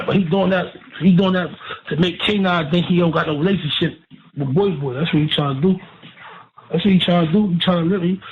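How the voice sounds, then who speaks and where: A man with an American accent chatting casually in street slang. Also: high-pitched. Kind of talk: casual street-talk chat. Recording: phone-like narrow band, background noise.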